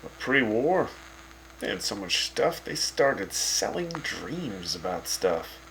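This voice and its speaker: A man's voice: lowish voice, very animated, takes it slowly, gravelly, fairly bright tone, slight background noise, heavily acted, low-quality recording. A man playing a wry, teasing wasteland radio host who chats with his guests. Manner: sarcastic, teasing, chatty.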